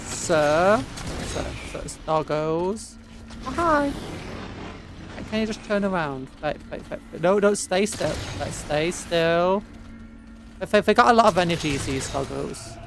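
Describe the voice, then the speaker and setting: A woman's voice: fairly low pitch, expressive, a little slow, background noise. A British woman giving casual, playful, chatty gameplay commentary as a streamer. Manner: playful, chatty, talking to the game. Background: game audio and music.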